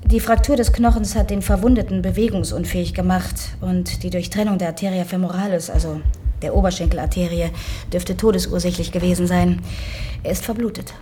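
A woman's voice: on the low side, fairly even intonation, brisk, warm tone, a little hiss or hum, natural voice. A woman playing a young, single-minded army pathologist in a radio drama, clinical and matter-of-fact. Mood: clinical, firm. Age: 30s.

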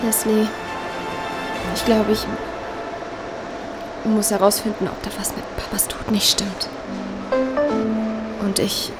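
A woman's voice: mid-range voice, flat delivery, slow pace, long pauses, over loud music, natural voice. A young actress playing an anxious, questioning young woman in a naturalistic audio drama. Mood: tense, worried. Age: young adult. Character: anxious, questioning young woman investigating her father's death.

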